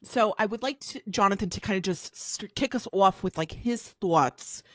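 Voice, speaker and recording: A woman's voice: medium pitch, normal speed, fairly bright tone, no room sound. A woman co-hosting a podcast, talking in a bubbly, chatty way with lots of fillers. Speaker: podcast co-host. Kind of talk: casual chat.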